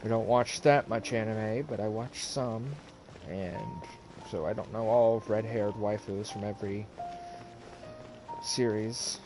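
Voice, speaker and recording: A man doing casual live game stream commentary in a halting, rambling way. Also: lowish voice, steady tone, low-quality recording. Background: video game music.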